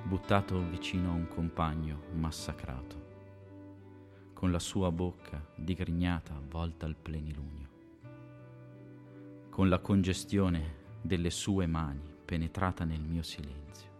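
Very deep-voiced somber soldier. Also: male voice, fairly even intonation, takes it slowly, darkish tone, soft background music. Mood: somber, intense. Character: weary young soldier in the trenches, somber. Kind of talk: solemn poetry recitation in radio drama.

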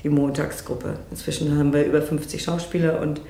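A woman's voice: deep voice, flat delivery, brisk, mellow, echoey room. A woman who directs theatre, recounting her work in an interview in a reflective, articulate way.

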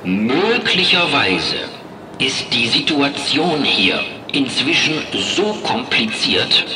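A man narrating in a slow, measured documentary style. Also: medium pitch, sing-song, reverberant, distant mic, noisy background.

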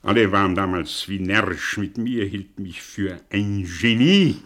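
Slow, theatrical, bitter intellectual man. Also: on the low side, animated, fairly bright tone. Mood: confessional, intense. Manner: theatrical, declamatory, self-dramatizing, broken emphatic phrasing. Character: vain, bitter, brilliant man disowning his own origins. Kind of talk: mid-century German radio drama monologue.

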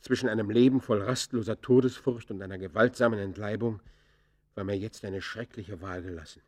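A low-voiced, guilt-ridden fugitive played by a man in a radio play. He confesses like a literary storyteller, declaiming in anguish and torment. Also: steady tone, a little fast, lightly acted. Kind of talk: German radio play monologue and dialogue.

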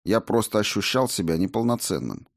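A man's voice: lowish voice, very animated, a little fast. A man reading a first-person book aloud as scripted narration. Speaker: audiobook narrator.

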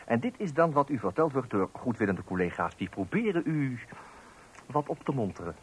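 A middle-aged new father in an old radio play, acted by a man, mild and easily thrown. His mid-pitched voice moves a lot and stumbles in hesitant, conversational phrases. There is light noise on the recording. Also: sing-song, moderate pace, natural voice, rough recording. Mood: wry, confiding. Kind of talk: radio play acting and wry narration.

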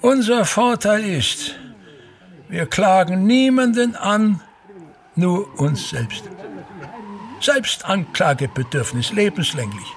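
An imperious, self-pitying old stage actor. His voice sits fairly high and swings widely in melody. He declaims at a very slow, savoring pace, repeating himself like a tyrant in a radio play. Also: heavily acted. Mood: grandiose, self-pitying. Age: older. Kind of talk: theatrical radio drama monologue.

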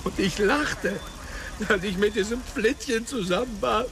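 A man's voice: high-pitched, a little slow, background noise. An actor playing a volatile police detective who pours out a bitter confession about his lost sister in a German radio drama. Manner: intense, confessional, pushy. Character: volatile male cop haunted by his sister.

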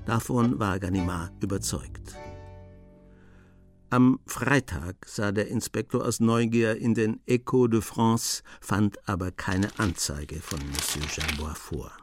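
Low-voiced male radio drama narrator. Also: slow pace, faint music behind.

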